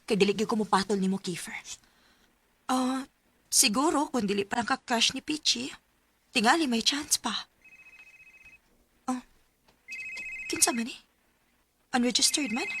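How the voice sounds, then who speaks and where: A woman's voice: fairly high pitch, a little slow, long pauses, a bit bright, a little hiss or hum. A woman playing a sensible, loyal young student in a radio drama, earnest and conversational, with a Cebuano accent. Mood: wary.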